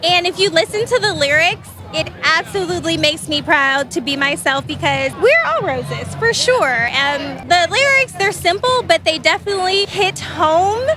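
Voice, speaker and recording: A woman's voice: extremely high pitch, expressive, moderate pace, hardly any pauses, over music. A woman from Chicago, enthusiastic and chatty, interviewed outdoors. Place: outdoors at a Pride event.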